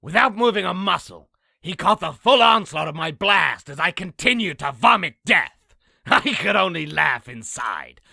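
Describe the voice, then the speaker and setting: A man voicing a boastful raspy demon, telling an exaggerated battle story theatrically for a comic dub. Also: high-pitched, very animated, measured pace, pushed and bright, no room sound, studio quality, full character voice. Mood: gloating. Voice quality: raspy, gravelly. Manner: theatrical, self-aggrandizing. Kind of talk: comic dub character narration.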